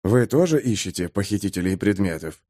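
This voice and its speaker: Audiobook captive sleuth, male narrator in role: quiet, courteous, halting and unsettled. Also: low-pitched, lively intonation, no room sound, clean studio sound, voice pushed down. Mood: composed, polite. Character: shaken captive investigator, polite adult man.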